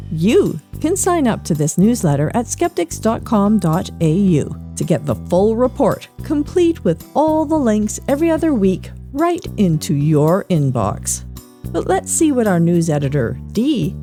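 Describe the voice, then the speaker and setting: A woman's voice: medium pitch, dark tone. A woman reading a scripted newsletter for a podcast as its presenter.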